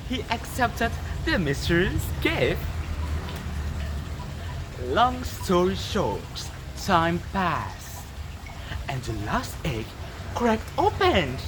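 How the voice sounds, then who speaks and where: A teenage student from Indonesia with an Indonesian accent tells a folktale dramatically, with deliberate, emphatic pauses. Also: mid-range voice, background noise. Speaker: student storyteller. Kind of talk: dramatic oral storytelling for a competition.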